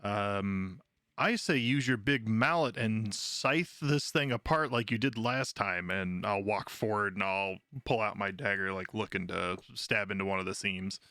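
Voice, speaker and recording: A man's voice: on the low side, measured pace, bright, forceful tone, dry recording, studio quality. A man talking casually as a player in a tabletop game. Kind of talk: casual game play talk.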